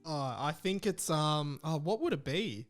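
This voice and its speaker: Australian male podcast host, slightly high voice, casual comedic chat, rambling, joking. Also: animated, a little slow, faint music behind.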